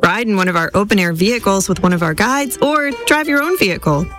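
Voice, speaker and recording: A woman's voice: mid-range voice, animated, quick pace, fairly bright tone. A woman narrating a scripted radio advertisement as its announcer, with music behind her.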